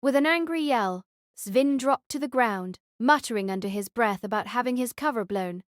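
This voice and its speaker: A woman's voice: on the high side, expressive, moderate pace, no echo, studio quality. A woman reading scripted audiobook narration of a story as its narrator.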